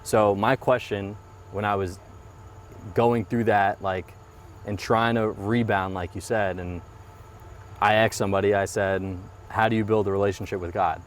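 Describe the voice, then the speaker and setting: Earnest man with a low voice. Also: fairly even intonation, normal speed, a little hiss or hum. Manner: earnest, reflective, repeats phrases. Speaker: guest, mortgage business owner. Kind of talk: casual interview chat. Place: outdoors by a parked car.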